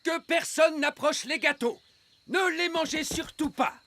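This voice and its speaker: A man's voice: very high voice, measured pace, bright, forceful tone, slight background noise, heavily acted. A man playing a pompous, hearty old elf adventurer in a French cartoon dub. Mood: alarmed. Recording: music behind the voice.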